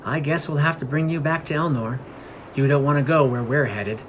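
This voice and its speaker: A man's voice: on the high side, fairly even intonation, brisk, slight background noise, natural voice. A man voicing a kindly, frank female cleric in a fantasy storybook reading. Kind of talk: storybook character dialogue.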